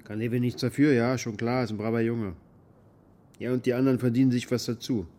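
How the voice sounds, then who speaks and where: An actor playing a bitter, maimed former military contractor who rambles coarsely and defensively in a naturalistic radio drama monologue. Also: male voice, medium pitch, fairly even intonation, brisk, warm tone, natural voice. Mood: resentful. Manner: coarse, defensive, agitated rambling with rhetorical questions.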